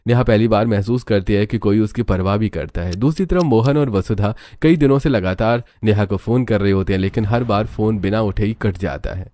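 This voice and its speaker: A man's voice: lowish voice, fairly quick, few pauses, mellow. A man giving scripted storybook narration for a family cartoon.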